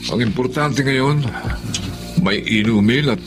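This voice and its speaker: An actor playing a despondent, hard-drinking middle-aged widower with a Filipino accent in a radio drama. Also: male voice, mid-range voice, takes it slowly, close to an everyday voice. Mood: carefree, tipsy. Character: grieving widowed man, hard-drinking, stubborn, despondent son. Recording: dramatic music under the voice.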